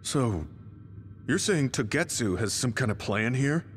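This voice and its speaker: A man playing a gruff, doubtful, down-to-earth soldier in a video game. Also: mid-range voice, animated, a little slow, lightly acted. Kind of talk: scripted video game voice acting. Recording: long reverb with faint music.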